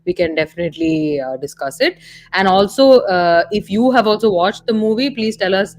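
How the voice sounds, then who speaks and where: A woman's voice: medium pitch, expressive, normal speed, darkish tone. A woman with an Indian accent co-hosting a casual film discussion, explaining and clarifying points as she goes. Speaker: live stream co-host.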